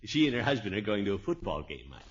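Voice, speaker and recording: Middle-aged uncle, hearty, blustery, kindly, swooping intonation, radio sitcom, dull vintage recording. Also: male voice, lowish voice, big pitch swings, fast talker. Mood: hearty, genial. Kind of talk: 1950s radio sitcom acting.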